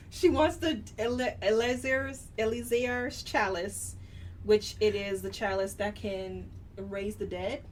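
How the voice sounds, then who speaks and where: A woman's voice: medium pitch, slow. Female co-host, casual book chat, self-correcting, recorded off-mic with light background noise. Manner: self-correcting, laughs at own slips. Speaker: podcast co-host. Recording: distant mic with faint noise.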